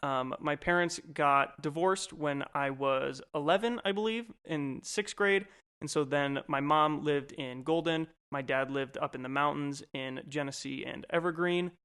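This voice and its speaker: Young male co-host, twenties, smooth clear voice, relaxed rambling chat. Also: fairly high pitch, measured pace. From Colorado, USA. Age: 20s. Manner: rambling, easygoing, lots of fillers. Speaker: podcast co-host. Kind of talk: casual chat.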